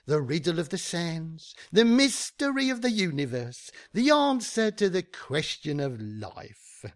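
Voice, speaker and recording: A grandiose small-time fixer in a radio comedy, played by a man. He speaks slowly and haltingly, with a gravelly creak and big theatrical swings of pitch. He sounds shifty and rather pompous. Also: sing-song. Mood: bumbling, pleased.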